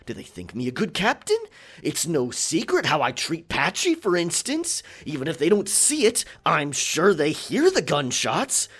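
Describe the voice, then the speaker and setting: A man playing a boisterous, rum-swigging pirate captain in a roleplay audio drama, with a gravelly voice, theatrical yet hesitant, muttering in pirate slang. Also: fairly high pitch, wildly swooping pitch, moderate pace, a bit bright, exaggerated voice. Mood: musing.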